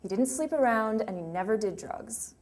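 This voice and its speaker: A woman's voice: a little high, lively intonation, moderate pace, darkish tone, lightly acted. An actress playing a grieving young woman remembering her lost sweetheart in a hesitant, emotional, confessional monologue. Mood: wistful, earnest. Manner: hesitant, emotional, with trailing phrases. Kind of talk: dramatic monologue, confessional and conversational. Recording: clearly noisy room ambience.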